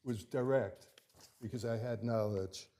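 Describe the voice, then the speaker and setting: Slow, halting man at a courtroom podium. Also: medium pitch, steady tone, mellow. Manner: halting, hesitant, many restarts. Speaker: attorney arguing his own appeal. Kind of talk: courtroom oral argument.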